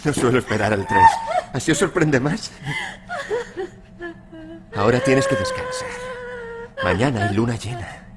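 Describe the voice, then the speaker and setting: An actor playing a deranged, playfully menacing killer in a horror radio drama, taunting and theatrical. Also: male voice, mid-range voice, huge pitch swings, measured pace, pauses often, very noisy. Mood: taunting menace. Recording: loud music bed behind voice.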